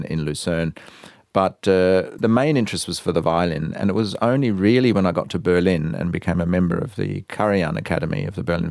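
A man in his fifties with an Australian accent, speaking as a guest in a radio interview, thoughtful and measured, with many hesitations. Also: low-pitched, gravelly, darkish tone, dry recording, studio quality. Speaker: guest, violinist and conductor.

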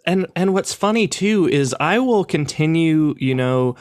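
A man's voice: fairly high pitch, expressive, a little slow, no echo, clean studio sound. A young man chatting casually on a podcast, hesitant, often stammering and restarting his sentences. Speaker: podcast cohost, video creator.

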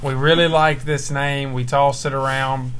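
Young male co-host, teasing and jokey, mid-pitched, faint hiss at home. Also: little pitch movement, measured pace, a bit bright. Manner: joking, teasing, laughs often. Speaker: podcast co-host and church minister. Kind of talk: casual chat with his wife. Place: home at night. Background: faint baby monitor hiss.

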